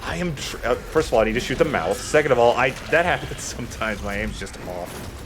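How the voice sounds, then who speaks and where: A man's voice: mid-range voice, lively intonation, brisk, a bit bright, slight background noise. A man giving casual let's play commentary in a playful, self-deprecating way, swearing casually. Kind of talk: casual gameplay commentary with banter.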